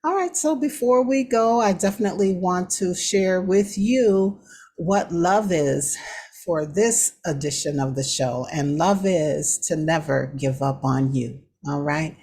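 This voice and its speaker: Husky, lower-voiced woman hosting a talk show. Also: measured pace, a little mellow. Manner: encouraging, conversational. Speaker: host of a lifestyle talk show. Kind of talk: motivational talk and sign-off to listeners.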